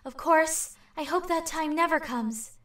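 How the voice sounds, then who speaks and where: Earnest teenage girl under suspicion played by a woman, high-pitched, anime dub, a little off the mic. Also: unhurried, a bit bright, distant mic. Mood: resolved. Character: earnest, determined teenage girl student on trial. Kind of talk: anime fan dub acting.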